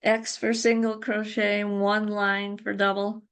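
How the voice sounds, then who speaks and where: A woman's voice: medium pitch, level tone, slow pace, a loud crowd. An older woman casually recalling her experiences as a guest in an interview. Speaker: interview guest, crochet guild founder.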